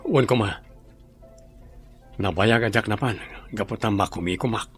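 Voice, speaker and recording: A man's voice: on the low side, normal speed, soft background music, natural voice. A man with a Filipino accent acting as a sober male boss in an Ilocano radio drama. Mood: explaining.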